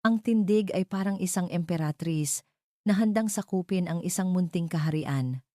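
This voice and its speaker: A woman with a low, mellow voice tells a romantic drama from a script. Her phrasing is measured and sentimental. The recording is very dry, with no room sound. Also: dry recording. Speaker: story narrator.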